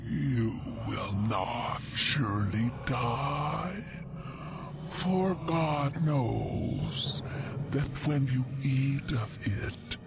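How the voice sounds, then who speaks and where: An actor voicing a sly, seductive serpent in an audio Bible drama, with drawn-out, insinuating, breathy whispering. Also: male voice, medium pitch, lively intonation, background noise, exaggerated voice. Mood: seductive tempting.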